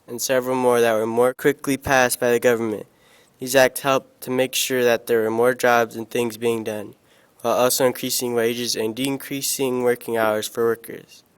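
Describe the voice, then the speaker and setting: A young man reading a school-project news report in a flat, even way. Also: medium pitch, smooth. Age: teens.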